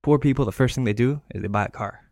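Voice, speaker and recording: A man's voice: medium pitch, quick pace, a little mellow, no echo, studio quality. A man, telling stories casually as a podcast guest. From Canada and Greece. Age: 30s. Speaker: podcast guest, real estate investor. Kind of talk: casual conversational storytelling.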